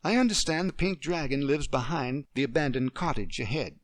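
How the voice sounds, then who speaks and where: A man reading a story aloud, voicing a kind, playful young woman who talks gently and plays along with a child's pretend game. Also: medium pitch, animated, a little fast, dry recording, studio quality, voice pushed up, close to an everyday voice.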